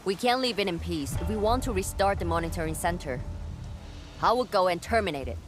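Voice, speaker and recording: A woman's voice: fairly low pitch, expressive, a little fast, lightly acted. A woman playing a curt, aloof, duty-minded warrior in a video game, speaking in a cool, blunt, businesslike way. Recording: loud game music behind the voice.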